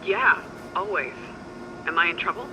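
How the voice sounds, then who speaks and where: A sharp, bright female security deputy. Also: on the low side, lively intonation, moderate pace, a little hiss or hum, low-quality recording. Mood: concerned. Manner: blunt, familiar, sardonic. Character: brisk, loyal, irritable female security deputy. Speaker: supporting character, control-room colleague. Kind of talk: audio drama acting.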